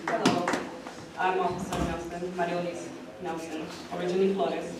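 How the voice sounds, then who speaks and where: A man's voice: high-pitched, flat delivery, normal speed, distant mic. A man born in the United States, introducing himself as a police officer at a public meeting. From born in Los Angeles, United States. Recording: very noisy, echoey room from afar.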